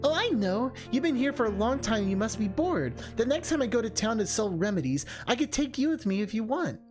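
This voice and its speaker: A streamer voicing an earnest teenage boy, pitched up. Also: big pitch swings, a little fast, faint music behind, natural voice. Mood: earnest, curious. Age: seventeen-year-old figure. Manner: earnest, eager. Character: gentle, curious, friendly teenage boy. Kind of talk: visual novel character read aloud.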